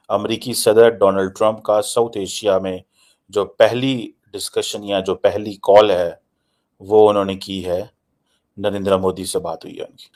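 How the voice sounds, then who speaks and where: A man's voice: lowish voice, a little mellow. A man with a Pakistani accent giving informal political commentary, emphatic and repetitive, dropping English phrases into his talk. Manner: emphatic, repeats phrases for effect. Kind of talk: informal news analysis, mixing English into his speech.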